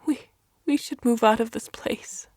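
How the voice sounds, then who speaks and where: A distraught mother, acted by a woman narrator in an audio short story. Her voice climbs high and shrill as she insists and pleads in desperation. The sound is dry and close. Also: measured pace, a little mellow, dry recording. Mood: pleading. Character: desperate, sobbing mother shouting at intruders.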